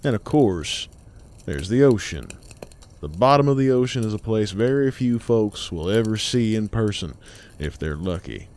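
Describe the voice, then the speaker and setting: Animated low-voiced male horror storyteller. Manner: colloquial, theatrical, dramatic pauses. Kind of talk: folksy first-person scary storytelling.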